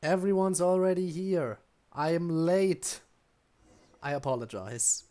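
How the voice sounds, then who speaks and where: A woman's voice: on the low side, slow pace, dark tone. A woman giving casual, wry commentary as she plays through a game. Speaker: game streamer.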